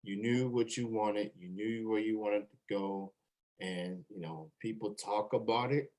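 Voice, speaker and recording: A man hosting a casual online interview, talking in a halting way and repeating words. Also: fairly low pitch, a little mellow. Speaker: show host interviewer.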